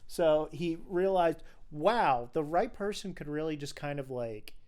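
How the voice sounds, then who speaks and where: A man's voice: a little high, animated, unhurried, a little mellow. A man hosting a casual film podcast, talking in an informal, explanatory way. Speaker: podcast host.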